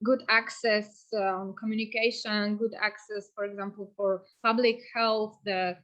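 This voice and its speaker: A woman in her thirties from Poland, speaking as a just transition activist on a panel, halting and repeating words. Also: medium pitch, level tone, a bit bright, lo-fi sound. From Eastern Wielkopolska, Poland. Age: 30s. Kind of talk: online panel discussion.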